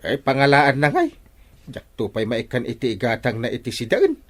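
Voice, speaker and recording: A man's voice: mid-range voice, huge pitch swings, moderate pace, no room sound. A man with an Ilocano accent playing an exasperated, grumbling village captain in a radio comedy drama. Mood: complaining.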